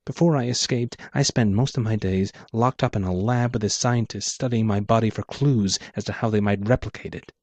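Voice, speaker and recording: A man's voice: lowish voice, steady tone, fairly quick, few pauses, darkish tone, dry recording, lightly acted. A man voicing a weary, enigmatic and bitter woman in an audio story, intoning and disdainful. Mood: indignant, scornful. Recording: dull muffled lo-fi audio.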